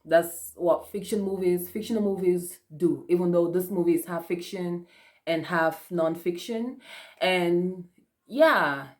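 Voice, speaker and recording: A woman's voice: lowish voice, measured pace. A young woman from Africa giving a casual, chatty movie review with lots of fillers and restarts. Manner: chatty, many fillers and restarts, frequent laughs. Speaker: vlogger.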